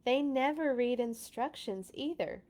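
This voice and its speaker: A woman's voice: high voice, measured pace, a little mellow, voice pushed up. Woman as a sighing, chatty party guest. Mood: resigned. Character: chatty female party guest, resigned about her sons.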